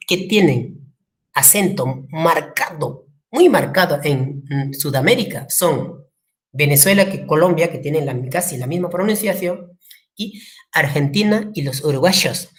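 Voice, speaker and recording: Peruvian woman teacher, low voice, lively lecture delivery with acted-out quotes. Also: unhurried. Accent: Peruvian Spanish. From Peru. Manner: lively, acts out quotes, asks the class questions. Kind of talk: classroom lecture.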